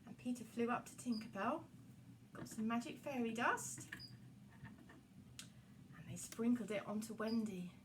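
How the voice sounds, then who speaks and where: A woman's voice: on the high side, measured pace, long pauses, far from the mic, noisy background. A woman telling a story to young children in a puppet show, addressing them directly with exaggerated expression.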